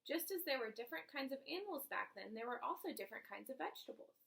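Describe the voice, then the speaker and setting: Woman playing a long-suffering, creaky schoolteacher. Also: high voice, big pitch swings, fast talker, fairly bright tone, exaggerated voice. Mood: matter-of-fact. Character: weary woman schoolteacher trying to keep class on track.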